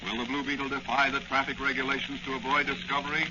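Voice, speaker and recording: An actor playing an urgent, suspenseful announcer narrating a 1940s radio serial. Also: male voice, mid-range voice, flat delivery, quick pace, lightly acted. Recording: dull, noisy old radio recording.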